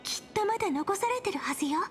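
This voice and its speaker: A woman voicing a playful, bubbly young woman in a game, with a high, sweet voice. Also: expressive, racing. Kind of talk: Japanese game voice acting. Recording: game audio with music behind.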